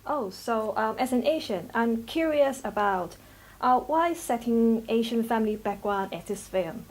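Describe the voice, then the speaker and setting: A woman's voice: a little high, lively intonation, unhurried, poor audio. A woman from Hong Kong interviewing a filmmaker, asking hesitant questions full of ums.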